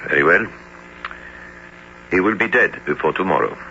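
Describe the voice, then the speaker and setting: An actor playing a learned, sober doctor and professor who recounts a sea voyage in formal, measured radio drama style. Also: male voice, low voice, pushed and bright. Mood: grave. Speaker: narrator and character. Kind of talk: dramatic radio narration and acting. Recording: noisy, dull old radio drama recording.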